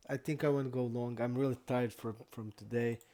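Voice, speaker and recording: A young man from Croatia, not an English speaker, chatting casually and haltingly while streaming poker. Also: medium pitch, steady tone, dark tone, a little hiss or hum. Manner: halting non-native English, many restarts and fillers.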